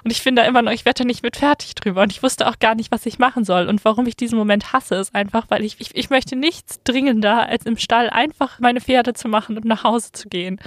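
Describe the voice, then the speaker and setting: A woman co-hosting a casual podcast, talking in a chatty, animated storytelling way. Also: on the high side, no echo, studio quality. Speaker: podcast co-host. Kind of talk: casual chat. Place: small recording studio.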